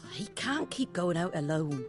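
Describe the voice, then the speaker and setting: An actress playing a weary, plain-spoken middle-aged innkeeper in a video game. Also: female voice, sits low, big pitch swings, slow, heavily acted. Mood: weary, hospitable. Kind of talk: dramatic video game acting. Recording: guitar music behind the voice.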